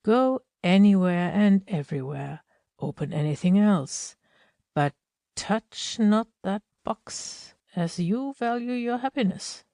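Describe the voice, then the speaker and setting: A woman as a slow, mellow, earnest husband. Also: mid-range voice, lively intonation, dry recording, clean studio sound. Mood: earnest warning. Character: Epimetheus, tender, solemn, protective husband of myth. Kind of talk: amateur audiobook play reading.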